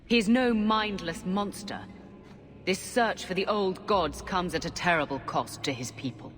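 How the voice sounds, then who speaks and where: An actress playing a determined, haughty warrior commander in a fantasy game, stern, imperious and insistent. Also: female voice, on the low side, a little slow, soft background music, lightly acted. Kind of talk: dramatic fantasy game acting. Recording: long stone-hall reverb.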